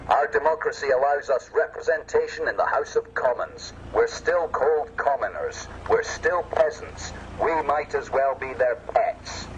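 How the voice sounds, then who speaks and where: A man's voice: medium pitch, lively intonation, moderate pace, hardly any pauses. A man delivering a scripted election address in a declamatory, sloganeering way. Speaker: political campaigner. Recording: dull lo-fi audio, faint vehicle noise.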